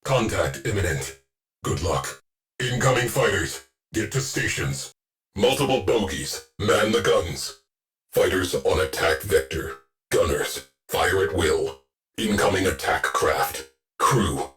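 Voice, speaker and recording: A very low-pitched stoic ship AI from a science fiction game. The delivery is slow, clipped and deadpan, like terse tactical reports from a protective battle computer. Faint music sits underneath. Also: male voice, exaggerated voice. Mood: terse, commanding. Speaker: ship tactical AI announcing combat. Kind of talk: scripted video game voice lines.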